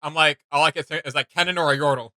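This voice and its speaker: A man's voice: on the high side, steady tone, rapid-fire, bright, forceful tone, no echo, clean studio sound. A man hosting a casual reaction commentary, talking in an enthusiastic, rambling way. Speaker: reaction video host.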